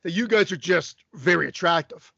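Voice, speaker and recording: A man co-hosting a casual podcast over a remote call, self-mocking and quick to giggle. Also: sits high, vocal fry, pushed and bright, no room sound, highs cut off. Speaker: podcast co-host and editor. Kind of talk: casual banter.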